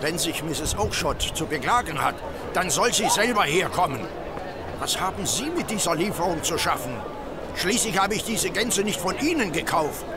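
A combative, irritable market dealer in a radio play. His voice is high for a man and very animated, with brusque delivery over clear street noise. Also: normal speed, a loud crowd. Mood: irritated. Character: gruff, irritable, stubborn male market poultry dealer. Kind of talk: German audio drama acting.

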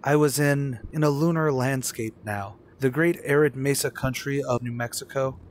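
A man's voice: mid-range voice, moderate pace, natural voice. An actor playing a haunted, unravelling young man in an old-time radio suspense drama, with a brooding, dramatic delivery. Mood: haunted, dread.

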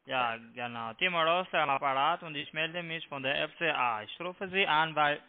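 Guy w foreign accent on a tinny phone call, high voice, broken repetitive sales talk. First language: not German. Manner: halting broken German, repetitive. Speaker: scam call-centre agent. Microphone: telephone. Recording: narrow tinny phone line.

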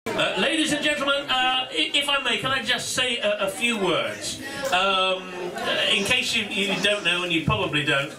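Man giving launch speech, higher voice, heard from afar in an echoing room with noise. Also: crowd noise. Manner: self-deprecating, halting. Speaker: studio co-founder giving speech. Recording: distant, echoing, clear noise.

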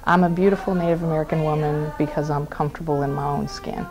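A middle-aged woman giving a composed, deliberate interview answer in an office. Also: deep voice, fairly even intonation, music in the background. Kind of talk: formal interview. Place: office with bookshelves.

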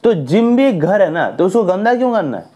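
Young male fitness vlogger, high voice, earnest and scolding, lapel mic in a small room. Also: a little fast, slightly rough. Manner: earnest, lively hand gestures, scolding advice. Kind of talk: casual talk to camera. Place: small indoor room with a decorated wall.

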